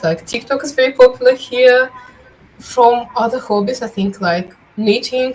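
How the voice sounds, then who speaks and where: A woman's voice: mid-range voice, slight background noise, low-quality recording. A teenage girl from Moscow, a native Russian speaker, answering interview questions in English with frequent hesitations. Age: teens. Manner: hesitant, many uh fillers. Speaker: student guest.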